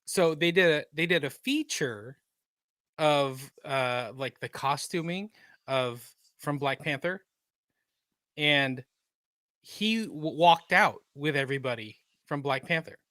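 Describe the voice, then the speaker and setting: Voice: mid-range voice, expressive, slow pace, a bit bright, dry recording. A podcast co-host adding brief, agreeable remarks in casual chat.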